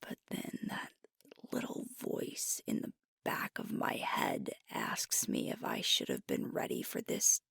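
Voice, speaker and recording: A woman playing a frustrated, doubting alpha werewolf pack leader in an intimate ASMR roleplay, speaking breathily and deliberately into a close microphone. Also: rumbling low, huge pitch swings, fairly bright tone, dry recording, full character voice. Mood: self-doubting. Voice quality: breathy, whispery.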